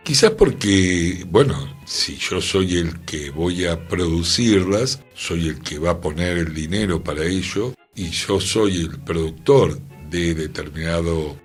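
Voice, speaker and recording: Deep-voiced, husky man with Argentine accent. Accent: Rioplatense Argentine. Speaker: radio talk participant. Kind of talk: reflective radio discussion. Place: radio studio.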